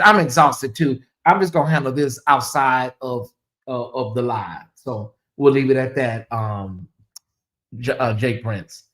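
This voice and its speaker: Hoarse male streamer, loose rambling delivery, repeats himself, sarcastic asides to chat. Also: on the high side, fairly bright tone. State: hoarse, losing his voice. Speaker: livestream host. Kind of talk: casual livestream commentary. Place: home in Atlanta, cold room.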